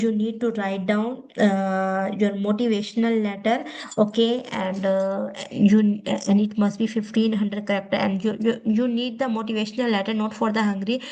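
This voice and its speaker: A woman with a Pakistani accent, a native Urdu speaker, giving an informal step-by-step tutorial in a halting, repetitive way with frequent okays. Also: medium pitch, a little mellow. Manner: halting, repetitive, often says okay. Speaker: tutorial host.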